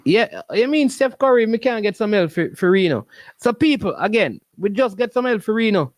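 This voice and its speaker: Casting: male Jamaican call-in host with a high-pitched voice, casual and joking, recorded dry. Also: normal speed, no echo. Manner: animated, jocular, repeats phrases. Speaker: host of a sports call-in show. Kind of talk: casual live call-in chat in Patois.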